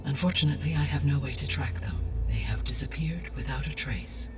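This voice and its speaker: A man voicing a calm ancient artificial intelligence in a video game cutscene, speaking in a measured, precise, clinical way. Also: mid-range voice, close to an everyday voice. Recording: game cutscene with music, distant.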